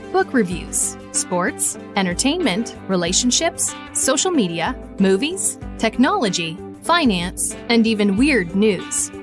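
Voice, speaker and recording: Woman announcer over music. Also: on the high side. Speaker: network promo announcer. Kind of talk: scripted ad read.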